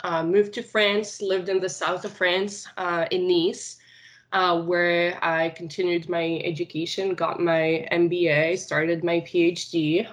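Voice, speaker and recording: Tunisian woman, low voice, halting. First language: Arabic. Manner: many "um" and "uh" fillers, unhurried. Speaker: finance recruiter, podcast guest. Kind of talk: casual interview answers.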